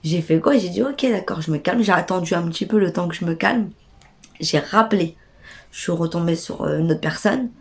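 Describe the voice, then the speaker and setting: A woman's voice: fairly low pitch, a little fast, gravelly. A young woman with a stuffy nose from a cold tells an indignant, slangy story straight to her viewers. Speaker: vlogger. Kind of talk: casual storytime rant.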